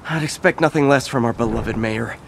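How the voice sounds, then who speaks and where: An actor voicing a young, upbeat, quick-witted male superhero in a video game, bantering sarcastically. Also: medium pitch, creaky, noisy background, close to an everyday voice. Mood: earnest.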